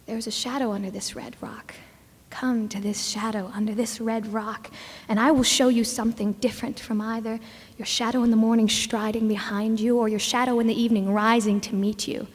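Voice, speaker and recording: Breathy woman reciting ominously in a hall. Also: a little high. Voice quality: breathy, slipping towards whisper. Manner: intense, ominous. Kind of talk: dramatic poetry recitation. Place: large indoor hall. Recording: reverberant hall.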